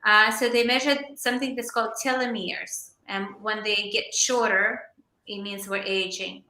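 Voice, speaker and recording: A woman in her forties, a naturopathic doctor, explaining health topics in a measured way during a casual podcast chat. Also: medium pitch, steady tone, pushed and bright, reverberant, low-quality recording. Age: 40s. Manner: explanatory, measured, occasionally hesitant.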